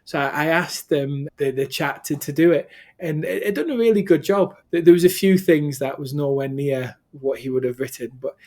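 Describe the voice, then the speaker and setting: A man's voice: on the high side, normal speed, few pauses, darkish tone. A man from the UK hosting an interview, rambling through anecdotes with many restarts. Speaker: interview host. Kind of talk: interview conversation.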